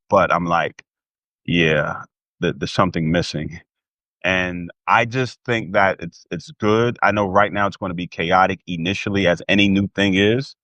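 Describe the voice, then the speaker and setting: Deep-voiced American man, close dry sound. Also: fairly bright tone, no echo, muffled recording. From United States. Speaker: interview guest, former pro athlete. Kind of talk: animated personal conversation.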